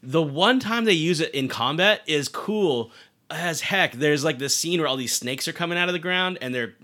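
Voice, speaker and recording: A man's voice: on the high side, animated, moderate pace, pushed and bright. A man hosting a podcast, chatting casually about movies in a slangy, storytelling way. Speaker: podcast host.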